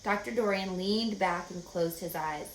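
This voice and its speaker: A woman's voice: medium pitch, unhurried. A woman reading a children's story aloud as scripted narration. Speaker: audiobook reader. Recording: echoey room, faint background noise.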